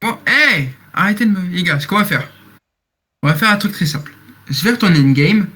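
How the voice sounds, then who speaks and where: An eighteen-year-old man moderating a roleplay game server over voice chat, speaking in a halting way and repeating himself. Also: high voice, lively intonation, bright, forceful tone, a little hiss or hum.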